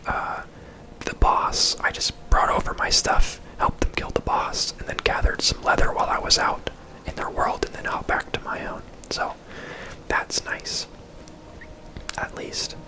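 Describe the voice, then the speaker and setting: An ASMR video host whispering casual, rambling gameplay commentary in a breathy, unvoiced voice. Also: low-quality recording. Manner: soft-spoken, rambling, casual.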